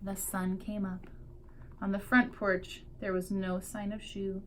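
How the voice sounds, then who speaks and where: A woman's voice: medium pitch, flat delivery, measured pace, a little hiss or hum, low-quality recording. A woman teacher reading a picture book aloud expressively to young children, drawing out key words for emphasis.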